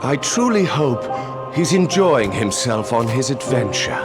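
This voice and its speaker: A sardonic, suave game villain, at a middle pitch. He speaks slowly and formally, with loud music behind. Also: sing-song. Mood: sly amusement. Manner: measured, formal, sly. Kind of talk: video game cutscene acting.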